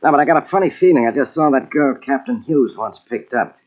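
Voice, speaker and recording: A man plays a wary beat cop in an old radio mystery. He talks plainly and slangily at a medium pitch, deferential in manner. The recording is dull and muffled. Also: brisk, lightly acted. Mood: uneasy.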